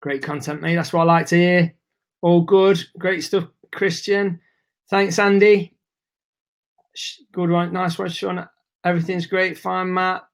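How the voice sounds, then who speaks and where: Male webinar host, casual and chatty, voice going hoarse, slightly high-pitched. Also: flat delivery, a little slow. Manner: chatty, informal, reads and answers chat messages. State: losing his voice. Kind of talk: live webinar presentation.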